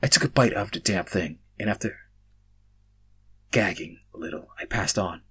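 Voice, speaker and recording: Husky low-voiced man reading fan fiction. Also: normal speed, pushed and bright. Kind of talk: amateur story reading with acted dialogue.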